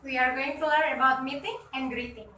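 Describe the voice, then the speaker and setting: Female teacher with a high voice, clear explanations, recorded in a roomy space a little away from the mic. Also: steady tone, a little fast, pushed and bright, distant mic, slight background noise. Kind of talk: classroom English lesson. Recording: echoey room, voice set back from the mic.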